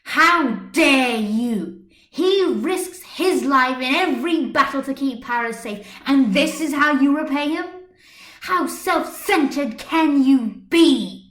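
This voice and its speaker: Woman playing young superheroine, furious, protective, high-pitched, loud indignant tirade, storybook reading, roomy computer mic. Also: slow.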